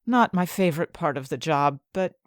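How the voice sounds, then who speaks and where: An actress playing an earnest, anxious young woman chemist in a fantasy audio drama, confiding her own story in an expressive way. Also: sits low, moderate pace, no echo, clean studio sound. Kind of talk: first-person scripted narration in fantasy audio drama.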